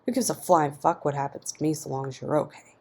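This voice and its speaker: A man's voice: fairly high pitch, fast. A woman voicing an angry, resentful, foul-mouthed man who snaps and swears in a narrated story. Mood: bitter, desperate anger. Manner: snapping, profane, forceful.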